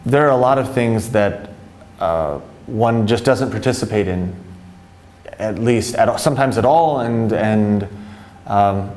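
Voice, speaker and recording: A man's voice: fairly low pitch, darkish tone. A man living abroad, answering interview questions hesitantly and restarting his sentences often. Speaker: expat interviewee. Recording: echoey room with faint noise.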